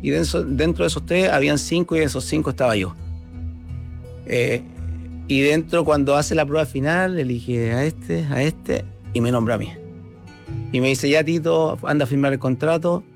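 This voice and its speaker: A man's voice: a little high, moderate pace, vocal fry, faint music behind. A middle-aged man with a Chilean Spanish accent, a former footballer telling stories from his career in a chatty, reminiscing way. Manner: chatty, reminiscing, repeats himself. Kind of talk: casual interview storytelling.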